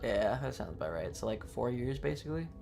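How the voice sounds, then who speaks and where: Male game streamer, mid-pitched, casual slangy banter with swearing, music underneath. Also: lively intonation, brisk, vocal fry, a little mellow. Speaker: livestreamer.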